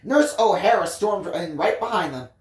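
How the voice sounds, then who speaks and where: Expressive storyteller reading out a novel, super animated, dramatic drawn out bits, echoey room. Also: mid-range voice, moderate pace. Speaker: audiobook reader, story time host. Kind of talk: expressive first-person story narration.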